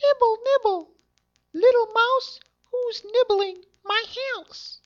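An ancient storybook witch woman, performed by a man, with a piercingly high, shrill falsetto. She draws her words out slowly and feigns kindness. The audio is dull and lo-fi. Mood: sly, taunting.

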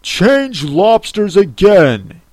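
A woman voicing a brash, bossy male gryphon from a storybook, loud and emphatic. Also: fairly low pitch, sing-song, slow pace, no echo, voice pushed up, full character voice. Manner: shouty, emphatic.

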